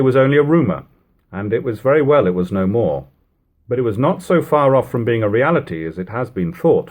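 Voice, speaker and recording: A man with a fairly low, husky voice reads an old literary narrative aloud. His intonation rises and falls widely as he goes. He delivers it in measured phrases, leaning firmly on the key words. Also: darkish tone. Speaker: audiobook reader. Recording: homemade lo-fi reading.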